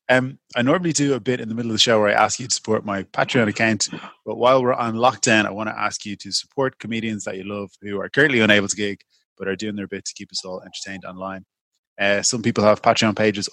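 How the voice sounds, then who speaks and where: A man's voice: on the low side, a bit bright, no echo. A man hosting an improvised comedy call-in show over video call. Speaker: host.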